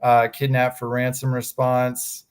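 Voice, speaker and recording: A man's voice: mid-range voice, completely flat delivery, a little slow, smooth. A man from Texas in his thirties, speaking, talking casually in an interview with many fillers and restarts. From Texas, USA. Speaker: guest, fraud examiners association staff.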